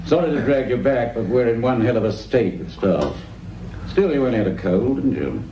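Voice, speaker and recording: An actor as a stern English superintendent in a radio play, curt and full of disapproval. His pitch moves widely, and the recording is echoey and a little dull. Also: expressive, moderate pace, warm tone, slight background noise, natural voice. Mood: brisk. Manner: curt, cutting, authoritative. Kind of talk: radio drama acting. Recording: roomy, distant, dull radio drama recording.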